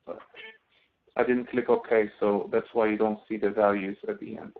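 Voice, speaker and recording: A man teaching a live online software lesson, explaining step by step in a halting way as he demonstrates. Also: lowish voice, flat delivery. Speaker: instructor. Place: online screen-share session. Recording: thin phone-like audio.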